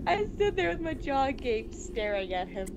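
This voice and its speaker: High-voiced woman reading a game aloud, muffled. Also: sing-song, moderate pace. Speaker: gaming video co-host. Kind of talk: reading visual novel text aloud with casual commentary. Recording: dull, noisy home recording.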